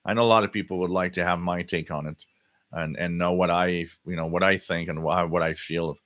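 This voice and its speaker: A man hosting a casual live hobby stream, answering chat comments in a halting way and often repeating his words. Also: low voice, steady tone, fairly bright tone, no room sound. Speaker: livestream host.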